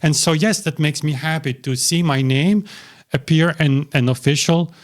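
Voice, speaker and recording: A man's voice: on the high side, steady tone, moderate pace. Rambling man talking to camera. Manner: rambling, with many restarts and fillers. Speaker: YouTube channel host. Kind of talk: casual talk to camera. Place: small cozy home studio.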